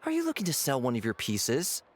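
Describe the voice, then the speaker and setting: Effusive merchant with wildly swinging pitch. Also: male voice, huge pitch swings, fairly quick, slight background noise. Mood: courteous. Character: effusive, polite, salesman-like male guild merchant. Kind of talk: video game voice acting.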